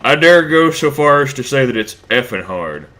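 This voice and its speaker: A man's voice: medium pitch, very animated, moderate pace, sharp tone. A man commenting casually on his gameplay, rambling, crude and self-deprecating, a bit stuffed up from a cold. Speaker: let's play host.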